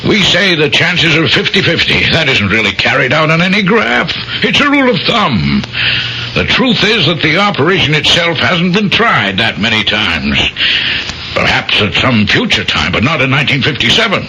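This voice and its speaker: An actor playing a stern, cautious hospital chief of staff in a radio drama, American, emphatic and authoritative. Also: male voice, a little high, sing-song, moderate pace, sharp tone, exaggerated voice. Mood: stern, skeptical. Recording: muffled, faintly noisy old radio broadcast.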